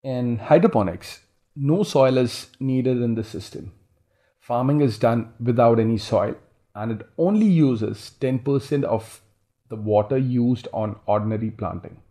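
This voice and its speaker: Male instructor for slide tutorial: relaxed dark timbre, average pitch, steady explanatory read. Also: a little slow. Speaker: instructor, farming consultant. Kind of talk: slide presentation, scripted reading with explanation.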